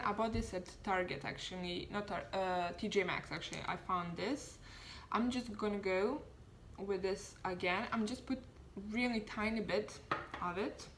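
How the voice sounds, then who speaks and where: A woman in her twenties from Serbia chats her way through a makeup routine at home. She sounds self-deprecating and loose, and her mid-range voice carries lots of "you know" and "like." A faint hiss of noise sits under the recording. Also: medium pitch. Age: 20s. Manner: chatty, self-deprecating, many fillers. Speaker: beauty vlogger. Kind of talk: casual makeup tutorial chat.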